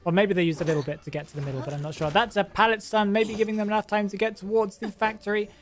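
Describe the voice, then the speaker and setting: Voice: mid-range voice, steady tone, very fast, faint music behind. A host giving casual, chatty commentary on gameplay, thinking aloud and often trailing off to restart a sentence. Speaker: game commentator and channel host.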